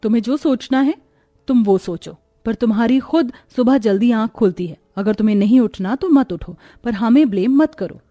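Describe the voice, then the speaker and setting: Cartoon sister-in-law female actor, high-voiced, sensible and dutiful, explains things earnestly. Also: moderate pace, dull audio, soft background music, lightly acted. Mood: firm. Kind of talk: Hindi cartoon story dialogue.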